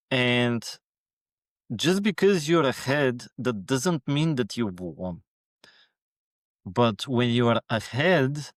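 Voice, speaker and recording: A man's voice: mid-range voice, dry recording, studio quality. A man being interviewed as a guest on a casual podcast, giving earnest motivational advice with lots of fillers.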